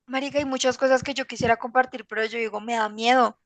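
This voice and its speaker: A woman's voice: fairly high pitch, steady tone, fast, dry recording. A woman with a Colombian accent talking casually as a podcast guest, candid, slangy and rambling. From Bogotá, Colombia. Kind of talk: casual personal conversation.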